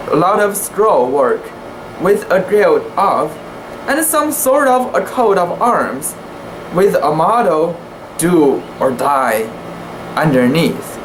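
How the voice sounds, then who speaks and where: A woman giving an amateur dramatic reading of a classic sea story, careful and deliberate, with the occasional stumble. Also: lowish voice. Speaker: amateur reader. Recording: echoey room with steady background hiss.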